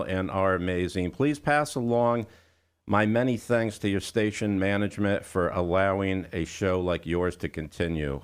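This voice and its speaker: A man's voice: low voice, clean tone. A man hosting a music radio show, chatting informally with listeners and guests with a showman's patter. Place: radio studio.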